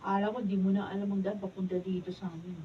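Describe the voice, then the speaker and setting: A woman's voice: medium pitch, flat delivery, quick pace, lightly acted. A Filipino woman playing a sulky, demanding young girlfriend, petulant, in a radio drama. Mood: sulky, reproachful. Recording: muffled, distant mic, noisy room.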